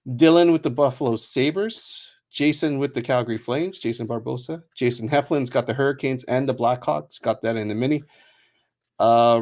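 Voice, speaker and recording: A man hosting a trading card break stream, chatting casually with many fillers and quick laughs, and reading out a long list of names. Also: mid-range voice, a little mellow. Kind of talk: casual livestream chat.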